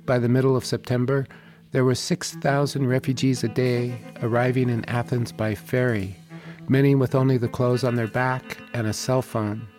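Documentary host: measured man, steady narration over faint music. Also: mid-range voice. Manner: calm, measured, plain-spoken. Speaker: host and reporter. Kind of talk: scripted narration and field interview.

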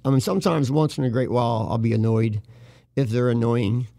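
Gravelly older guy hosting, voice kinda shot, rambles a lot, dry sound. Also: mid-range voice, brisk, warm tone, no echo. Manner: rambling, opinionated, restarts often. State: voice worn from talking a lot. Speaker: host. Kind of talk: casual talk show chat.

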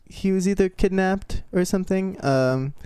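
A man's voice: sits high, moderate pace, dark tone, smooth, dry recording. A man talking casually as a panelist, reasoning through his thoughts in a conversational group chat. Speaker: podcast panelist.

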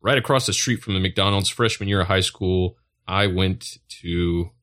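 A man's voice: deep voice, moderate pace, fairly bright tone. A man co-hosting a comedy podcast, chatting casually in an irreverent, joking way. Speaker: podcast co-host.

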